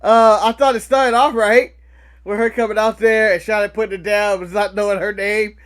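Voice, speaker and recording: A man's voice: sits high, fairly even intonation, normal speed, fairly bright tone. A man co-hosting a podcast in casual sports chat, giving opinions and cracking jokes. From United States. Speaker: podcast co-host.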